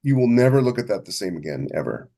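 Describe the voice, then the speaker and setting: A man's voice: on the low side, very animated, fast talker, gravelly, warm tone. A man co-hosting a podcast in casual conversation, talking enthusiastically and emphatically and often repeating himself. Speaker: podcast co-host and science fiction author. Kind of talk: casual chat.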